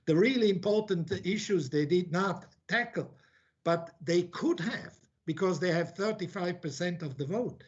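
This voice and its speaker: A high-pitched man who grew up in Switzerland delivers an academic conference talk. He thinks aloud with many restarts and drops blunt, informal asides. The recording sounds dull and muffled. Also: normal speed. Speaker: academic speaker.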